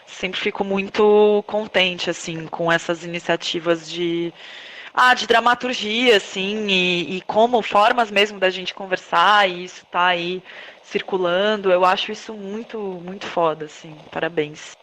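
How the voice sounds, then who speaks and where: A woman's voice: mid-range voice, measured pace, fairly bright tone, poor audio. A woman with a Brazilian Portuguese accent chatting informally and effusively as an interview guest. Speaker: podcast guest, playwright, actress and director. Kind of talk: casual interview conversation.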